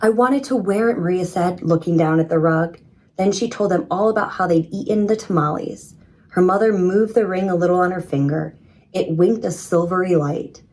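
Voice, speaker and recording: A woman reading a children's story in an expressive, measured storytelling manner. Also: on the low side, rough recording. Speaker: storybook reader. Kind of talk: scripted children's story narration.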